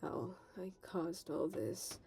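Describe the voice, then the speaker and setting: Woman playing wounded, guilt-ridden male leader, halting breathy murmurs, mellow tone, expressive pitch, comic dub drama. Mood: drugged, remorseful. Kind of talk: scripted comic dub acting. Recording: faint music behind.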